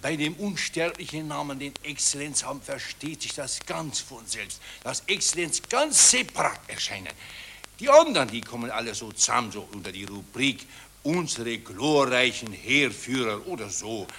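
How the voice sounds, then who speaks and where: An actor playing an obsequious, pushy court photographer in a satirical radio play, with a fawning, insistent manner. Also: male voice, medium pitch, big pitch swings, moderate pace, pushed and bright, a little hiss or hum. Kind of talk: satirical radio drama acting.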